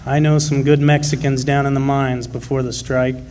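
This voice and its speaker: A man plays a gruff union miner in a radio play, speaking in folksy working-class phrases. His pitch stays level and narrow, proud and plainspoken. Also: little pitch movement, moderate pace, darkish tone, natural voice. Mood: calm, reasonable. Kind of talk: live original radio drama.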